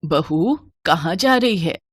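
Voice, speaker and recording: A woman's voice: on the low side, lively intonation, takes it slowly, husky, no echo, clean studio sound, full character voice. A woman voicing a poor, worried older mother-in-law in a village storybook tale, speaking plaintively. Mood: weary, wistful.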